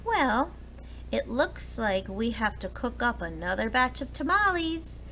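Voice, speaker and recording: A woman's voice: a little high, very animated, unhurried, pushed and bright, a little hiss or hum, exaggerated voice, low-quality recording. A woman voicing a cheerful, reassuring aunt in a children's storybook.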